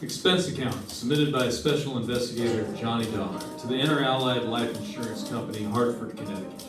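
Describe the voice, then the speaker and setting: A man's voice: medium pitch, fairly quick, close to an everyday voice. Male actor as noir insurance investigator, radio play, clipped dry delivery, distant mic, music underneath. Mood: businesslike. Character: hard-boiled male freelance insurance investigator, brisk and dry. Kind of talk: old-time radio noir acting, first-person narration. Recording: roomy stage recording, distant mic, music behind.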